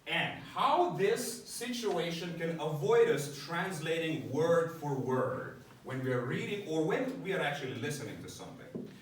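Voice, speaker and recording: A man's voice: on the high side, big pitch swings, unhurried, slight background noise. A man teaching an English class, explaining step by step and often checking with his students by asking "right?". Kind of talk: classroom lecture. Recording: echoey room, voice from a distance.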